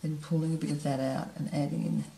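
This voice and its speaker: Low, gravelly woman teaching painting, relaxed, thinking aloud, echoey studio room. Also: fast talker, a little hiss or hum. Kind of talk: painting demonstration narration. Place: studio table, camera overhead.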